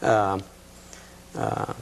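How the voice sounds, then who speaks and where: A middle-aged American man hosting a casual interview in a conversational way. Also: very slow. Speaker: interviewer and host.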